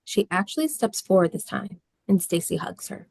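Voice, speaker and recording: Creaky-voiced woman narrating a script. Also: medium pitch, expressive, normal speed, darkish tone. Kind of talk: scripted narration of stage directions.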